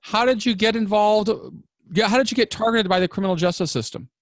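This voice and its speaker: A man's voice: high-pitched, fairly quick, dry recording, clean studio sound. A man hosting a podcast, reading an intro and then asking a guest encouraging questions. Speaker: podcast host. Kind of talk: scripted intro and interviewing.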